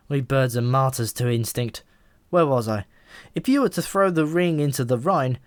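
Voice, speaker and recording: A man's voice: medium pitch, no room sound, clean studio sound, close to an everyday voice. A man voicing a talking pigeon in an audiobook, a knowing and easily exasperated explainer with a wry, emphatic manner. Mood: chatty, explaining.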